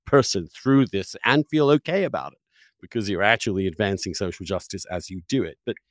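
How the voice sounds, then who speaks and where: Guy hosting a brainy talk show, medium pitch, pushes his points hard, very dry sound. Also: dry recording. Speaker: interview host. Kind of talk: opinionated intellectual conversation.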